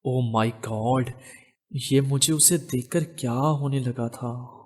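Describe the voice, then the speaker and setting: A man voicing a serious, troubled and reserved young man in an audio novel, speaking gravely and reluctantly. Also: medium pitch, slow. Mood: shaken. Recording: long hall reverb on voice.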